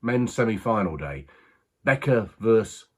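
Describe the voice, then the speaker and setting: A man performing a working-class betting man in a dramatic monologue. His voice is low and husky, and he talks in a halting, confiding way, full of colloquial turns and pauses, with a rueful air. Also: animated, a little mellow. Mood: wistful. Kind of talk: dramatic monologue, conversational storytelling.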